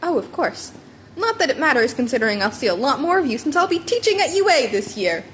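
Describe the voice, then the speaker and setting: A woman's voice: high-pitched, big pitch swings, fairly quick. Big-hearted heroic mentor man played by a woman, chatty and emphatic, swooping delivery, audiobook with faint noise. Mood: jovial, congratulatory. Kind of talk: amateur fan fiction audiobook character.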